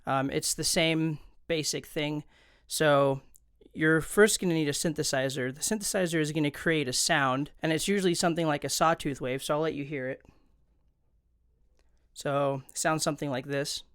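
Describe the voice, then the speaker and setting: A man's voice: a little high, steady tone, normal speed, fairly bright tone, clean tone, no echo. A man hosting an instructional video, explaining things in an informal, conversational way into a close studio microphone. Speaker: tutorial host. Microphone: close studio condenser microphone.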